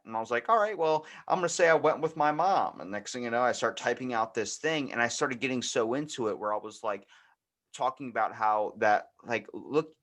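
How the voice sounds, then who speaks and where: A young American man chatting casually, rambling through anecdotes and acting out the quoted bits as he goes. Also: on the low side. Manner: rambling, animated retelling with acted-out quotes. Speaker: podcast host. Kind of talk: casual storytelling chat.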